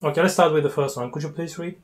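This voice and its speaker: A man from Bulgaria in his forties, chatting on a video call through an earphone mic, playful and teasing, pausing to search for words. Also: on the high side. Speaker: language learner interviewing a tutor. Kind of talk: casual video-call chat. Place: small room indoors with wooden doors. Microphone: wired earphone mic on a video call.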